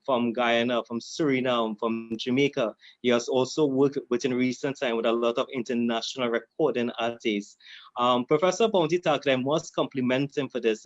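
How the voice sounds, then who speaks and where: Man co-host doing a guest intro, slow and careful, repeats himself, dull dry call audio. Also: medium pitch, dry recording. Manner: deliberate, repeating and correcting himself.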